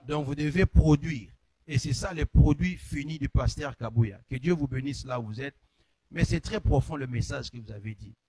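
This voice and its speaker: A man's voice: mid-range voice, normal speed, warm tone, breathy edge, no echo. Guy hosting a gospel chat show, lots of amens, dry mic. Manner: affirming interjections, amen and hmm. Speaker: host.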